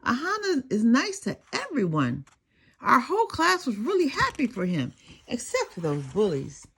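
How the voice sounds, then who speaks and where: A woman's voice: a little high, huge pitch swings, moderate pace, fairly bright tone, slightly rough, exaggerated voice. A woman voicing an earnest, tender-hearted young schoolboy in a children's storybook, speaking in simple phrases. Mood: sad, loyal. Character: earnest, tender-hearted second-grade schoolboy telling his feelings.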